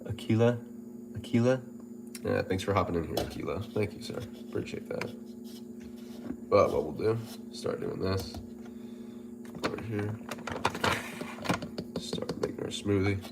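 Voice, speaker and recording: Man, very low voice, nasal and stuffy from a cold, relaxed chatty livestream, noisy background. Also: a little slow, gravelly, pauses often. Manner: relaxed, chatty, repeats himself, reads out viewer comments. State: congested from a head cold. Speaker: personal trainer and gym owner. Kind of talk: casual livestream chat.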